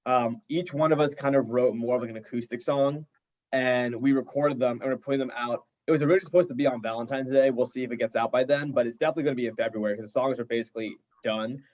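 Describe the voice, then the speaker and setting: A young adult man talks casually as an interviewed band member. His pitch is average and his manner is eager and informal, with "like" all through his sentences. The recording is a little dull and lo-fi. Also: fairly even intonation, fast talker, darkish tone. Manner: enthusiastic, informal, heavy use of "like". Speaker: indie rock band member being interviewed. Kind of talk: casual chat.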